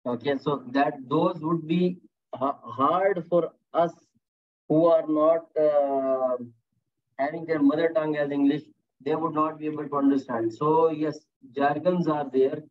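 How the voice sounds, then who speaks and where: A man's voice: on the high side, fairly even intonation, a little slow. A man teaching a workplace safety course on a video call, encouraging students and repeating their answers, with frequent "okay" and "very nice". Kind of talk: online lecture with class questions. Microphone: computer mic on a video call. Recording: tinny phone-like call audio.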